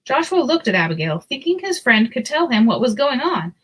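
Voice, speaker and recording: A woman narrating a children's storybook aloud in an expressive, deliberate storytelling manner. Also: high-pitched, breathy edge, poor audio.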